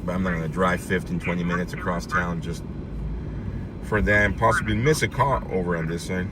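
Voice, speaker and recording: A man chatting casually with livestream viewers as host, informal and thinking aloud in an unhurried way. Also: deep voice. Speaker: livestream host, citizen reporter. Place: in a vehicle out on the road. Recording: clear steady car and outdoor noise.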